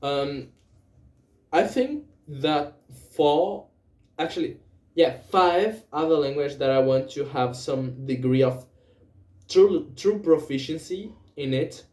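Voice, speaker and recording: A young man with a Brazilian accent talks slowly and carefully in English. He hesitates often and corrects himself as he goes, in a mellow tone. His voice rings in an echoey room. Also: on the high side. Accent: Brazilian Portuguese. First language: Portuguese. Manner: hesitant, many fillers and self-corrections. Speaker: vlogger. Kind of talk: casual spoken journal, language practice.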